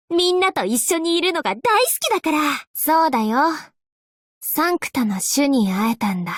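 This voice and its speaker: A woman playing an upbeat, playful young angel courier girl in a Japanese anime game, with a perky, teasing, expressive delivery. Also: sits high, dry recording, studio quality. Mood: cheerful, earnest, then exasperated.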